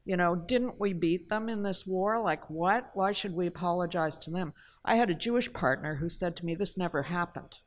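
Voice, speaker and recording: A woman's voice: on the low side, normal speed, few pauses. An older woman from Canada, speaking on a panel in a large hall into a table mic, telling her story earnestly and with emphasis. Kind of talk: panel discussion talk. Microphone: table mic on a stand.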